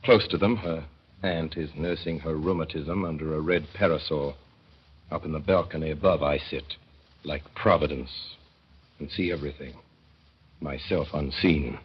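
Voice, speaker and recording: A man narrating a 1930s radio drama in a measured, wry way, with deliberate pauses. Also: very deep voice, steady tone, husky. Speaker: narrator and lead performer. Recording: dull 1930s radio broadcast.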